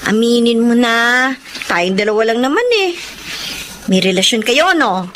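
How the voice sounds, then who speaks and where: Animated Filipino meddling office friend. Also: female voice, on the high side, a little slow, slight background noise. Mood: teasing. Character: gossipy female office friend, indignant and meddling. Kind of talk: Filipino radio drama acting.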